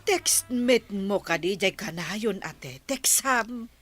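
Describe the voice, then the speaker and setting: Bright, unhurried meddling village wife. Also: female voice, mid-range voice, expressive, soft background music. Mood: concerned. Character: curious, meddling married village woman. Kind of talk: Ilocano radio comedy drama acting.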